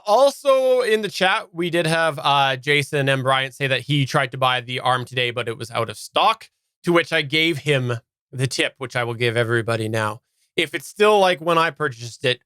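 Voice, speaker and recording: A man's voice: medium pitch, pushed and bright, smooth, dry recording. A man co-hosting a podcast, chatting casually and relaying listener comments in a live discussion. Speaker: podcast co-host.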